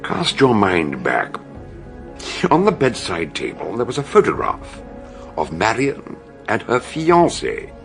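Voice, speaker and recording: An actor with a British accent playing a shrewd older detective doctor in a radio drama, telling his deductions with emphatic, dramatic pauses. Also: lowish voice, wildly swooping pitch, vocal fry, fairly bright tone, faint music behind, heavily acted. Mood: confident, explaining. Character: shrewd, theatrical older male detective doctor explaining a murder.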